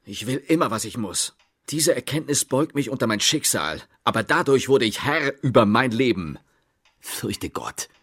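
Bitter brother with wildly swinging, husky voice. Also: male voice, mid-range voice, lively intonation, normal speed, fairly bright tone. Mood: defiant. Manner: declamatory, brooding, with sudden outbursts. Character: bitter, defiant homeless brother who returns home. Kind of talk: German radio play acting.

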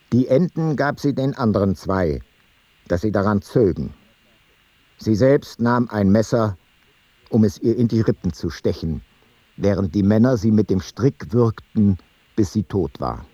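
A grave chronicler of ancient voyages in a radio play. The voice is dark and husky, set close and dry, and the delivery stays measured and matter-of-fact. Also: male voice, fairly low pitch, dry recording. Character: grave male chronicler reading ancient travel logbooks. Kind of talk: literary radio play narration.

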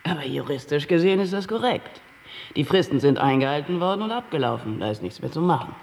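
Creaky-voiced slick TV producer. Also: male voice, animated, fast talker. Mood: matter-of-fact, smug. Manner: grandiose sales-pitch talk, emphatic. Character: slick sensationalist TV producer, pushy, easily panicked man. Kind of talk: German radio play acting.

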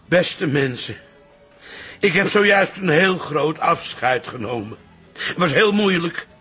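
A man's voice: a little high, animated, takes it slowly, pushed and bright, husky, soft background music, heavily acted. An actor playing a dreamy, stubborn old father besotted with his pets in a Dutch comedy radio play. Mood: solemn, aggrieved. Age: older.

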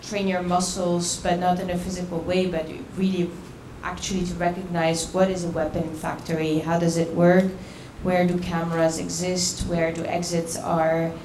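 A woman with a lowish voice speaks earnestly on a panel, pausing to think as she talks. Her delivery stays flat. Background noise and strong hall echo surround her. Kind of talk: panel discussion. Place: event venue.

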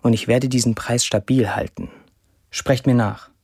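An actor playing a defiant, self-mocking young Syrian man in a declamatory, deliberate audio drama monologue. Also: medium pitch, steady tone, a little mellow, breathy edge. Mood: defiant confession. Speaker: actor performing an author's social media posts.

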